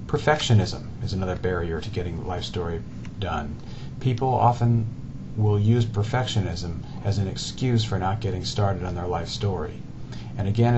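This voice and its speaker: A man in his forties giving an earnest, deliberate presentation about his company, stressing lists of words. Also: on the low side. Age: 40s. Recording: dull lo-fi sound with clear background noise.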